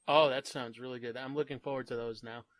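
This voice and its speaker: A man's voice: medium pitch, fairly even intonation, fast talker, a bit bright, dry recording. A man hosting a gaming channel, opening with an upbeat greeting and interviewing a guest with eager reactions.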